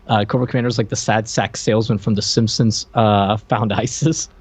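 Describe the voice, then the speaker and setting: A man's voice: lowish voice, fairly even intonation, fairly quick, husky, no echo. A man co-hosting a podcast, chatting informally and thinking aloud in a hesitant way. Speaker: podcast co-host. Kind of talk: casual media review chat.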